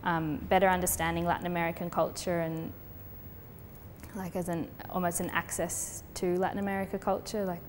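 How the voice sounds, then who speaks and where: Young woman, lowish voice, thoughtful artist talk, gallery echo and light noise. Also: brisk. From Colombia. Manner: thoughtful, steady, with frequent fillers. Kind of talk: explaining her artwork in an interview. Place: gallery space. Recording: long reverb with faint background noise.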